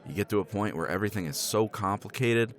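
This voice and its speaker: A man's voice: on the low side, fast talker, close to an everyday voice. A man playing a tipsy middle-aged professor in a romance roleplay, rambling with chuckles and casual swearing. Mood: persuasive. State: tipsy from scotch. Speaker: roleplay character.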